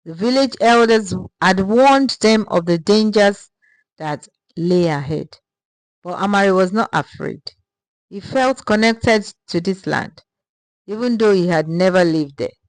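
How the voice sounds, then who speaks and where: Female narrator, scripted folktale, slow, measured, dramatic storytelling, mid pitch, dry recording. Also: dry recording, rough recording.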